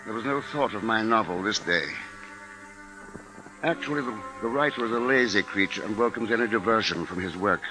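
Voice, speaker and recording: An actor playing an anxious writer who narrates with measured, dramatic deliberation in a 1950s radio drama. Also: male voice, lowish voice, close to an everyday voice, rough recording. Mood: grave. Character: anxious writer narrating a seaside bird menace. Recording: old 1950s radio recording with music.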